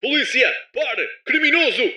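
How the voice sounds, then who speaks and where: A man voicing a stern, menacing authoritarian announcer of a dystopian city over a reverberant loudspeaker, commanding and declamatory. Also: very high voice, lively intonation, moderate pace, exaggerated voice. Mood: shouted police warning. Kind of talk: dramatized propaganda and police announcements. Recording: echoey, distant, long hall reverb.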